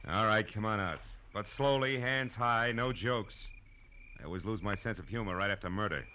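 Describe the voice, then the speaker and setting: Deep-voiced, hard-boiled American detective. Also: male voice, moderate pace, sharp tone. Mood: tough, sardonic. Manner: sardonic, clipped tough-guy talk. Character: hard-boiled, wisecracking male private detective, tough and cynical. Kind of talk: 1940s radio detective drama acting and first-person narration. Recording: noisy old radio drama recording.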